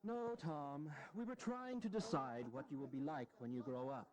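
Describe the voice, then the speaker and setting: A man's voice: a little high, moderate pace, a little mellow, close to an everyday voice. An actor playing an aging, self-deprecating American statesman in a historical audio drama, sardonic, blunt and earnest. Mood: wry, amused.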